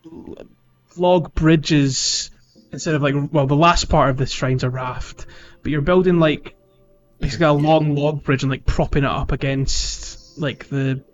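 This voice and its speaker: A man's voice: on the high side, highs cut off. A man hosting a casual podcast chat about a video game, often repeating and restarting words as he explains. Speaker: podcast host. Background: music.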